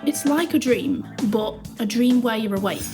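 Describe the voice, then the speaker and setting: An actress playing an eager young scavenger in an audio drama, with loud music behind her. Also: on the high side, moderate pace, close to an everyday voice. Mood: excited.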